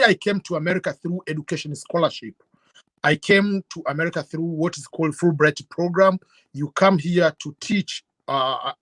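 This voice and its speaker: Man from Tanzania, middle-aged, earnest, measured, higher-pitched, dry. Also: a bit bright, no echo. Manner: earnest, measured, with fillers and restarts. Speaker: guest YouTuber. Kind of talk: interview answers, storytelling.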